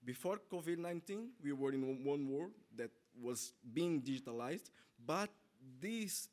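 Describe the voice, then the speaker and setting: A man from Brazil, Portuguese his first language, gives a talk at a conference. His voice sits a little high for a man, and he breaks off and restarts his sentences often as he works through his points. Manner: halting, many restarts and self-corrections. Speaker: conference presenter. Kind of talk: conference presentation.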